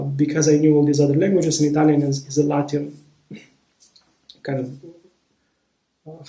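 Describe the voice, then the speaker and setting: A man shares a personal story in a reflective, unhurried way, often pausing to find the right word. His voice sits a little high but sounds soft and mellow. The room around him rings with echo. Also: creaky. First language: Romanian. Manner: thoughtful, searching for words. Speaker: vlogger. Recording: roomy echo.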